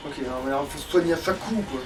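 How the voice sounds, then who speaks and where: A man's voice: on the high side, animated, very fast, distant mic, music in the background, rough recording. A man hosting a let's play, chatting casually, swearing and grumbling as he plays. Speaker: let's play host, playing the game. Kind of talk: casual gaming chat.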